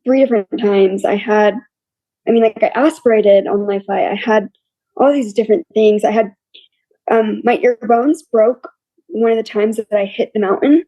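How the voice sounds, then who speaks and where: A young woman with a scratchy, quiet voice, openly telling her story as an interview guest in a plain, matter-of-fact way. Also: medium pitch, steady tone, moderate pace, dry recording, highs cut off. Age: young adult. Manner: open and matter-of-fact, listing hardships plainly. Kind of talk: candid personal storytelling.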